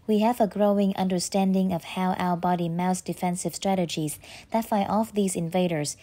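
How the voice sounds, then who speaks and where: A woman who works as a trainer, giving a careful, measured model reading of scripted exam passages. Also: fairly low pitch, steady tone, warm tone. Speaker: test-preparation trainer reading sample passages.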